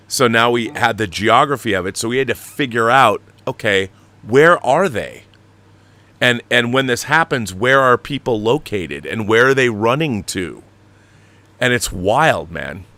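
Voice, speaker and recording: Deep, bright-voiced man chatting casually. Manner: rambling, animated explainer, slangy. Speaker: show host and audio drama director. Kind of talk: casual livestream chat.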